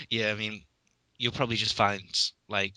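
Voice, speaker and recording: Hesitant Liverpool man, bright and dry. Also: on the low side, no echo, poor audio. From England. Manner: hesitant, restarts often, dry humor. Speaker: podcast guest. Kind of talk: casual chat.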